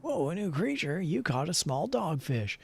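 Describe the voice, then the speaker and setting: Man, higher-pitched voice, creaky, playful game streamer, storytelling read-aloud delivery. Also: big pitch swings, normal speed, faint music behind.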